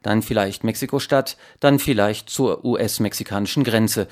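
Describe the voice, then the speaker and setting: A man working as a radio reporter, narrating a scripted report in a measured, emphatic way. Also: on the low side. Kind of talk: scripted news report narration with translated voice-overs.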